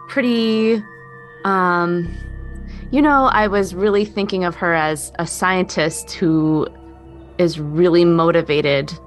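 Woman, slightly low pitch, sincere, reflective roundtable talk, soft music in background. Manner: earnest, heartfelt. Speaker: podcast guest, actor. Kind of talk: reflective casual roundtable chat.

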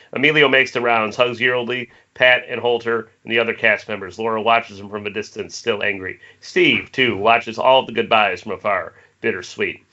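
A man's voice: fairly low pitch, pushed and bright, dull audio. A man narrating the stage directions of a screenplay at a table read over an internet call. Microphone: internet video call.

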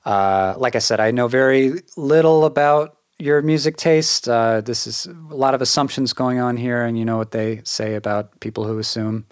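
A man's voice: mid-range voice, moderate pace, hardly any pauses, clean tone, no room sound, clean studio sound. A man co-hosting a casual music podcast, chatty and prone to restarting sentences. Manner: chatty, stumbling over starts. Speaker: podcast co-host. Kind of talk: casual chat.

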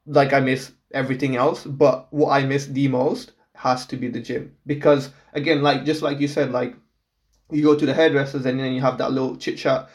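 A young man from Portugal in a casual chat, relaxed, laughing often and repeating words as he starts his sentences. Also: mid-range voice, moderate pace.

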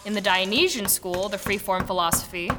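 A woman's voice: medium pitch, a little fast, very noisy, lightly acted. A woman narrating a radio drama in a wry, theatrical storybook manner. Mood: wry, storytelling. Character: wry storyteller narrating a girl inventor's tale.

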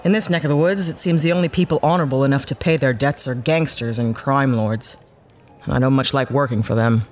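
A woman's voice: a bass voice, fairly even intonation, a little fast, darkish tone, slight background noise. An actress playing a sardonic, blunt ex-cop starship captain down on her luck, in a sci-fi audio drama. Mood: weary, sardonic. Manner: sardonic, blunt, hesitant when awkward.

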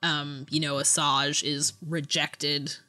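A woman's voice: deep voice, level tone, slow pace, pushed and bright. A woman hosting a podcast in a casual book discussion, often breaking off and rephrasing her thoughts as she goes. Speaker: podcast host.

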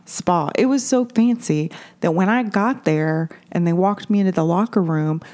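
A woman's voice: lowish voice, normal speed, a little mellow. An American woman in her forties chatting casually and candidly as a co-host, with some swearing. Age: 40s. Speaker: podcast co-host. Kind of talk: casual chat.

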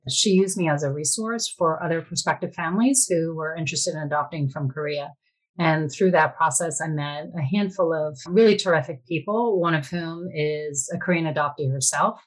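A woman's voice: on the low side, low-quality recording. A woman giving personal testimony in an interview, speaking in an earnest, plain-spoken and encouraging way. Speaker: interviewee, adoptive mother.

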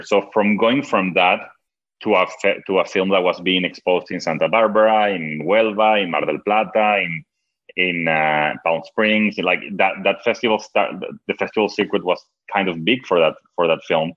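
Man, Venezuelan accent, Spanish native, very deep, stop-start speech, muffled lo-fi sound. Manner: halting, many restarts, laughs often. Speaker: film director guest. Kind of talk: casual interview answers. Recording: dull lo-fi sound.

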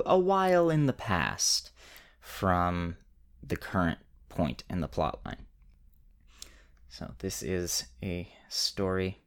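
A man narrating a scripted story in a measured way with dramatic pauses. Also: low voice, clear, resonant tone.

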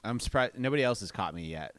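A man hosting a podcast in casual chat, relaxed and rambling with fillers. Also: mid-range voice, quick pace, no echo. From Boston area. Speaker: podcast host and comedy club owner.

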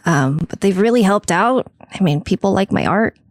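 A woman's voice: medium pitch, animated, moderate pace, no room sound, clean studio sound, lightly acted. A woman playing a forgetful, eager-to-please young furry artist in a comedy sketch, with a sheepish, hesitant delivery. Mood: eager, shy.

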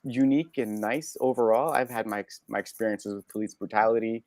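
A man with a Canadian accent, a podcast guest telling personal stories candidly, halting and correcting himself in an animated way. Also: on the low side, dry recording. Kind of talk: candid personal storytelling in conversation.

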